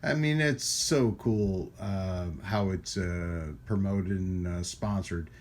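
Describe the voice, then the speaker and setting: A man giving a casual hands-on product demonstration as a channel host, rambling and pausing often as he goes. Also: low voice, a little mellow, a little hiss or hum. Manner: halting, rambling delivery with frequent pauses. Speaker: host of a radio hobby channel. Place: indoors at a hobby workbench.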